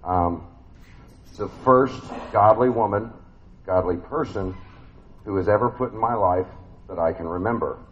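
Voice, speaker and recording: A man's voice: lowish voice, a little slow, pauses often, lo-fi sound, slight background noise. A man with a big voice preaching a family sermon in a church, conversational and folksy, with many fillers and restarts.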